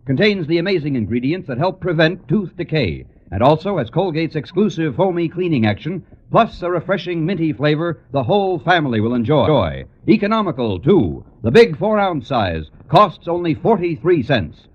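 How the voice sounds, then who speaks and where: A man's voice: fairly high pitch, sing-song, moderate pace, hardly any pauses, husky, lo-fi sound. An American man announcing and selling on 1940s radio in a polished, emphatic style. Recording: vintage radio with music, faint audience.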